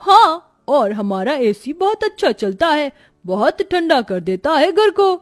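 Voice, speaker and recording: A woman's voice: sits high, very animated, takes it slowly, exaggerated voice. A woman voicing a smug, boastful young boy in a moral-story cartoon.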